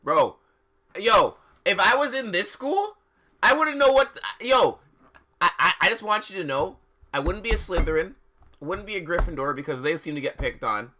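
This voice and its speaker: A man live streaming, switching between dramatic reading and casual, slangy commentary, often halting, repeating words and sounding exasperated. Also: high-pitched, very animated, pushed and bright.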